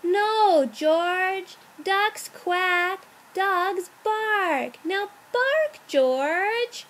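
A woman voicing an exasperated, pleading storybook mother dog who scolds her puppy in emphatic, drawn-out words. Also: very high voice, animated, fairly bright tone, pitched above the performer's own voice, full character voice. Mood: upset, scolding.

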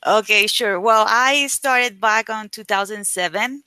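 A woman with Spanish as her first language, talking casually as an interview guest over a video call. Her voice is bright and forward and sits close to the mic with almost no room sound. She chats freely and leans on "like" fillers while acting out old conversations. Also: mid-range voice, a little slow, smooth, dry recording. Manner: chatty, frequent "like" fillers, animated retelling. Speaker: guest, affiliate marketer.

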